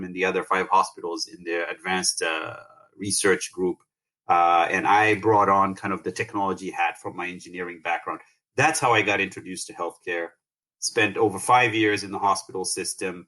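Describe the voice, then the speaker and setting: A middle-aged man from Tanzania, a company founder, talking through his career in a relaxed interview with plenty of fillers and restarts. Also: sits low. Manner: halting, many fillers and restarts. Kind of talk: conversational interview.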